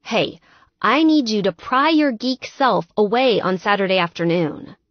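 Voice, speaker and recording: Woman acting a blunt, pushy young friend, animated. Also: medium pitch, a little slow, dry recording, highs cut off, lightly acted. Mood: impatient. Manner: brash, impatient, chatty.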